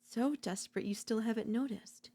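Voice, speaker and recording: A woman's voice: a little high, dark tone, close to an everyday voice. A woman acting an obsessive, unhinged yandere. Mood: smug, teasing. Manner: slow, teasing, theatrical, slipping into whispers. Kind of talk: dark ASMR audio roleplay, intimate dramatic acting.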